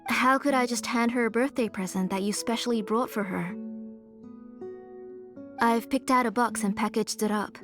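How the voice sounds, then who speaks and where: Retired artisan auntie played by a woman: fairly high voice, earnest, caring yet stern, game dialogue over music. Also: moderate pace, long pauses, a bit bright, close to an everyday voice. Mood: explaining. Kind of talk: video game dialogue acting.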